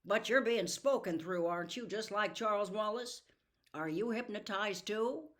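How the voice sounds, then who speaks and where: A woman's voice: medium pitch, moderate pace, fairly bright tone, voice pushed up, natural voice. A woman voicing a determined athletic teenage boy in an audiobook, speaking in a forthright, challenging way. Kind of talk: audiobook character voice.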